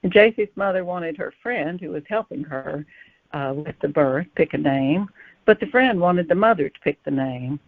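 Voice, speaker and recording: A woman's voice: sits low, animated, moderate pace, dry recording. A woman author answering as an interview guest, explaining in a storytelling style over a narrow phone-like line.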